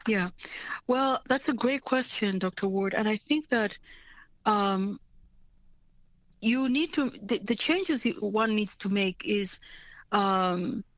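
A woman's voice: mid-range voice, slow, pauses often, no echo, highs cut off. A woman from Africa, a nonprofit leader, answering interview questions earnestly and often checking in with "right?".

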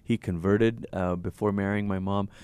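A man from Malaysia, a pastor, talking in a lively, thoughtful way in an interview and laughing easily. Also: lowish voice, clear, resonant tone, no echo. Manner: animated, thoughtful, quick to laugh. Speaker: pastor and author. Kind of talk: conversational interview.

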